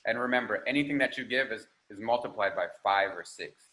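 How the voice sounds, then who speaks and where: A man's voice: on the low side, brisk, pushed and bright, poor audio. A man on a video-call panel, an organizer who talks about a fundraiser in an earnest, appreciative way. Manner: earnest, appreciative, loose with fillers. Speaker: capoeira teacher, fundraising organizer. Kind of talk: casual panel discussion.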